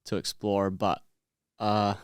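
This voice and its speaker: A man's voice: lowish voice, monotone, takes it slowly, clean tone, dry recording, clean studio sound. A young man, a podcast guest, talking in an easygoing, hesitant way. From New Zealand. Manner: easygoing, hesitant, lots of fillers. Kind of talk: casual chat.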